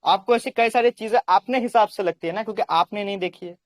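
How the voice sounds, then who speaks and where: A high-voiced man with an Indian accent argues his case in a debate. He explains insistently and often repeats phrases to hold the floor. The sound is very dry, with little room to it. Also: fast talker, no room sound. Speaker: religious debater on a recorded call.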